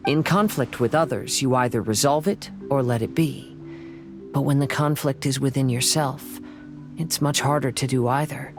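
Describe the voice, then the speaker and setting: A man voicing a gentle, reflective young man. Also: mid-range voice, moderate pace, close to an everyday voice. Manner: calm, reflective. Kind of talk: video game voice acting. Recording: game dialogue over background music.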